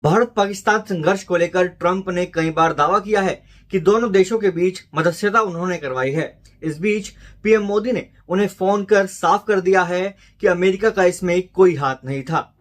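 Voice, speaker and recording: A man's voice: sits high, normal speed, few pauses. A man with an Indian accent narrating a scripted news report as a voice-over. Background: background music.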